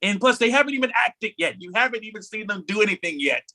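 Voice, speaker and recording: A man's voice: high-pitched, lively intonation, fast talker, creaky, pushed and bright. A man co-hosting a podcast, talking casually and giving opinions in a rambling, emphatic way, often repeating himself. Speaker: podcast co-host. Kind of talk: casual opinionated chat about anime news.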